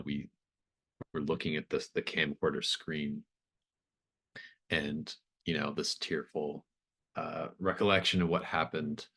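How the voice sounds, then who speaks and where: A man improvising narration as game master of a tabletop horror game, in a halting, thoughtful way. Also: a bass voice, fairly bright tone, slightly rough.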